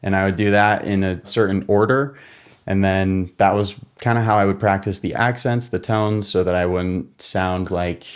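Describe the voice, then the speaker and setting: A young American man in a casual, relaxed monologue, explaining things step by step and sometimes correcting himself as he goes. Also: deep voice, moderate pace, smooth. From United States. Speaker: podcast co-host.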